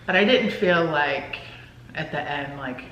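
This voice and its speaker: A middle-aged woman talks films in an informal back-and-forth. Her voice is bright and sharp. It is picked up in an echoey room with a little background noise. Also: low-pitched, unhurried, lo-fi sound. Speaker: movie reviewer, co-host. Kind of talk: casual movie review chat.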